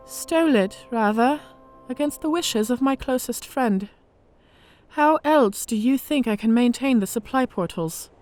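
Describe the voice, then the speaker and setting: An actress playing a cunning, vengeful dragon mage disguised as a centurion, speaking in a theatrical, formally articulate way in a fantasy audio drama. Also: female voice, a little high, animated, a little slow, a little mellow, soft background music. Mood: smug, composed.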